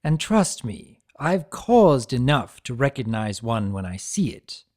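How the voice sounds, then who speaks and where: A man voicing a theatrical chaos spirit for a dramatic story reading, taunting yet sympathetic, with emphatic, drawn-out phrases. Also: mid-range voice, sing-song, a little mellow. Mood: earnest, pressing.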